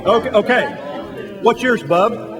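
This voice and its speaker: A man playing a gruff, hostile bar owner in a radio drama, bossy and impatient, with slangy tough talk. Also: sits high, expressive, slow, crowd noise. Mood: brusque. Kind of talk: old-time radio drama acting. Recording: long hall reverb, faint music.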